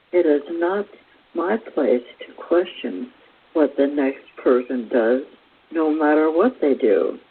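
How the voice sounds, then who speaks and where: A man giving a spiritual lecture as a teacher, in deliberate, halting phrases with measured emphasis. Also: a little high, breathy edge. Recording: hissy, tinny phone-like recording.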